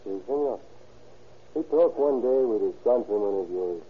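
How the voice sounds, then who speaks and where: A man playing a courteous, unhurried Mexican ranch owner in an old radio drama, speaking halting English with a Mexican accent and bits of Spanish. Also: on the low side, expressive, husky. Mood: puzzled, explaining. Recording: tinny band-limited old radio recording.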